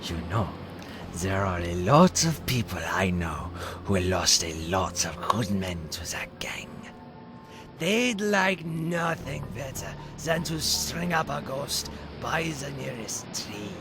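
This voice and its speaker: A man's voice: mid-range voice, very animated, slow pace, music in the background. A man playing a sly, smug rogue with a teasing, theatrical delivery in a fantasy audio drama. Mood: smug menace. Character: sly, taunting male rogue scheming a shakedown.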